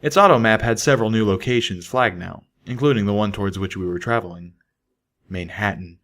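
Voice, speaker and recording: A man's voice: on the low side, fairly quick, a bit bright. A man reading a scripted first-person story as an audiobook narrator. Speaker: audiobook reader.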